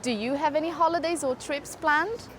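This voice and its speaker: A woman's voice: high-pitched, normal speed, slight background noise. Brazilian woman presenting street interviews. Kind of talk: presenting and asking questions. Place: London cafe and streets.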